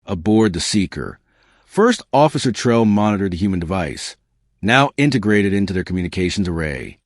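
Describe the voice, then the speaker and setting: A man reading a scripted science fiction story as an audiobook narrator, in a measured, dramatic storytelling delivery. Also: low voice, big pitch swings, no echo, studio quality.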